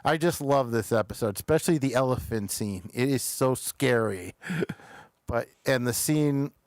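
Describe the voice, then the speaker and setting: A man hosting a show, chatting casually through episode reviews in a halting way, pausing often mid-thought. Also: mid-range voice, darkish tone, no echo.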